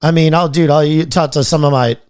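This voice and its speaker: Florida guy with a florida accent rambling on a podcast, keeps restarting, bit high voice. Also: steady tone, a little fast, a little mellow, no room sound. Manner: rambling, repeats words and restarts often. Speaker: podcast host. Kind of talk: casual chat.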